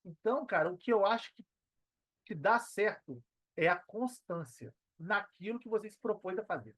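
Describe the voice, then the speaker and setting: A man's voice: high voice, lively intonation, moderate pace, fairly bright tone, no room sound. A Brazilian man speaking casually and emphatically as an interview guest, using colloquial speech and often quoting his own thoughts. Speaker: podcast guest, affiliate marketer. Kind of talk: casual interview talk, telling own experience.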